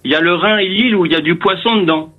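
A radio caller from Paris with a Parisian accent, speaking in a relaxed, plain-spoken way. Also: male voice, high-pitched, rapid-fire, narrow, tinny audio.

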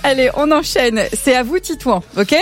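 A woman's voice: high voice, expressive, moderate pace. A woman presenting a true-or-false radio quiz in a casual live studio style.

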